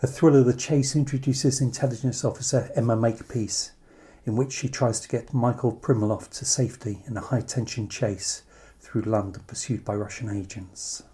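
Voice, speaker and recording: Man, dark relaxed timbre, podcast interviewer, introductions and questions. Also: medium pitch, moderate pace.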